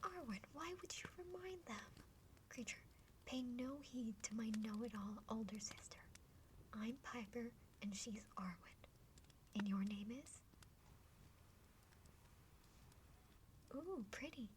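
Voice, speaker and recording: A woman voicing a friendly, curious younger half-dragon sister in a breathy, chatty whispered ASMR roleplay. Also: fairly high pitch, expressive, unhurried. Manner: chatty, playful, hesitant stammers. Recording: faint background noise.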